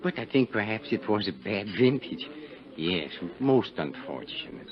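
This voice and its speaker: An actor playing a shady, suave gangster in a 1950s radio drama, with a French accent and an oily, evasive, falsely courteous manner. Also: male voice, lowish voice, big pitch swings, measured pace. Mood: smooth, guarded. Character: shady, suave Algiers gangster, sly and menacing. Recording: muffled 1950s radio recording, crowd murmur behind.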